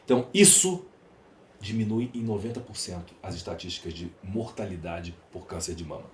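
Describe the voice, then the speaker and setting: A man's voice: deep voice, lively intonation, moderate pace. A Brazilian man with a Brazilian Portuguese accent, speaking emphatically and rhetorically as an opinionated podcast guest. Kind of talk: opinionated interview talk. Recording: roomy echo, faint background noise.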